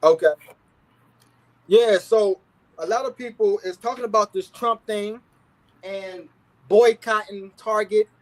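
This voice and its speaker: High-voiced man hosting a casual livestream. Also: slow pace, pauses often, low-quality recording. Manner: laid-back slang, repeats "you feel what I'm saying". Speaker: talk show host.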